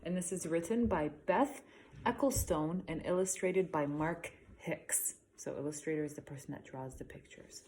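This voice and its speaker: A woman teacher reading a picture book aloud to young children, slowing for emphasis and pausing to ask guiding questions. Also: low-pitched, background noise. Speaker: elementary school teacher.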